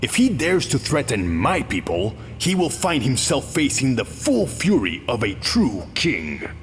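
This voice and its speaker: A man's voice: mid-range voice, wildly swooping pitch, measured pace, soft background music. A man voicing a haughty, regal warrior king in a video game, speaking formally and proudly. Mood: haughty, defiant. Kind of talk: video game character acting.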